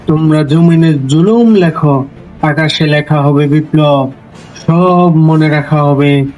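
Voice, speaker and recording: A man recites poetry solemnly at a very slow pace, in a fairly high voice. His delivery is measured and declamatory, with deliberate pauses and faint music behind him. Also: a little mellow, poor audio. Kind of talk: solemn dramatic recitation of a protest poem. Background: background music.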